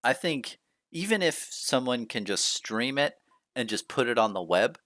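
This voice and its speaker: A man's voice: medium pitch, very animated, moderate pace, fairly bright tone, dry recording, clean studio sound. A man hosting a casual chat, rambling with lots of fillers and restarts, upbeat and encouraging. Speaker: host.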